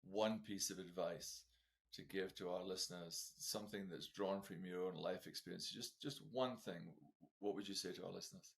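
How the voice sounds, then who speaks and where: A man hosts a podcast and puts measured, polite questions to his guest. His voice is very low and gravelly. He speaks in a steady interviewing manner. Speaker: podcast host.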